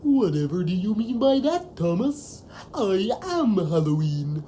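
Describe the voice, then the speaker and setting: A woman's voice: mid-range voice, big pitch swings, a little slow, dark tone, slight background noise. A woman playing a gleefully sinister male pumpkin-headed demon villain in a comedy audio drama, theatrical and gloating. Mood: gleeful menace.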